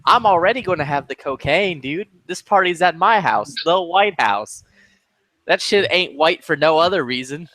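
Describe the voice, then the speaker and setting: A man's voice: fairly high pitch, expressive, normal speed, fairly bright tone, no room sound. A man chatting casually over a headset, joking crudely, swearing and laughing often. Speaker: lobby chat participant.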